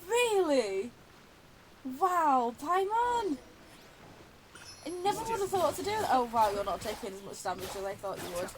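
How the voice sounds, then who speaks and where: A woman's voice: high voice, big pitch swings, normal speed, long pauses, background noise. A woman giving casual gameplay commentary, chatty and reactive with wry asides. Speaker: gaming video host reacting while playing.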